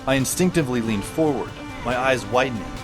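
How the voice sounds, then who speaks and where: A man's voice: mid-range voice, animated, brisk, close to an everyday voice. A man playing a wry, observant teenage schoolboy who narrates an audio drama in a wordy, descriptive way. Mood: wry, intent. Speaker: first-person narrator. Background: music behind the voice.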